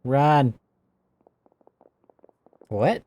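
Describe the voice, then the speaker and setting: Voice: mid-range voice, animated, very slow, dark tone. A gamer giving casual, laid-back reaction commentary while playing. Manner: laid-back, hums along, echoes game lines.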